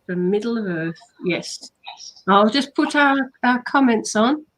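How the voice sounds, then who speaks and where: A woman's voice: on the high side, animated, measured pace. An English woman co-hosting a casual online chat, playful and fond of telling stories. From England. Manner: chatty, playful, storytelling. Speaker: author and hypnotherapist co-host.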